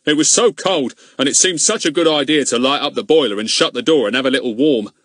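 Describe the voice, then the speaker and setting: A man's voice: mid-range voice, fast talker, no echo, clean studio sound, voice pushed up, close to an everyday voice. A man voicing a pompous, blustering middle-aged village policeman who roars and grumbles crossly. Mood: desperate. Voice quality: loud, cross and bossy.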